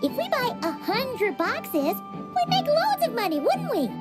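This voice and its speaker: A woman voicing a tiny excitable female floating companion in a video game, chirpy and quick to exclaim, with game music behind her. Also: very high voice, exaggerated voice. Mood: excited. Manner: chirpy, quick to exclaim, talks about herself by name.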